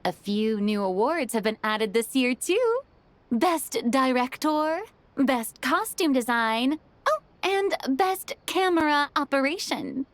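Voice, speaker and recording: A woman's voice: high-pitched, animated, takes it slowly, no echo. A woman voicing a grand, showy young woman in a game, making proud, theatrical declarations. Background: music.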